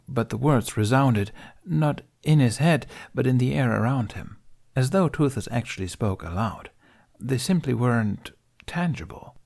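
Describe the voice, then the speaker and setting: A man's voice: mid-range voice, vocal fry, darkish tone. A man narrating an audiobook, reading scripted fiction as the storyteller.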